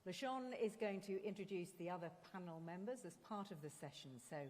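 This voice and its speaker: A woman hosting a conference, brisk and upbeat as she introduces the next speakers. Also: fairly low pitch, expressive, darkish tone. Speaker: event host. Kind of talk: stage hosting. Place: conference hall. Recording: long reverb in a large hall.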